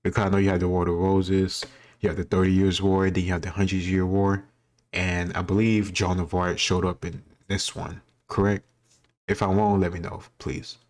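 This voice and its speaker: A man's voice: deep voice, level tone, normal speed, a little mellow. A man giving casual commentary as he answers quiz questions, thinking aloud and doubting himself. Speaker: host reacting to a history quiz.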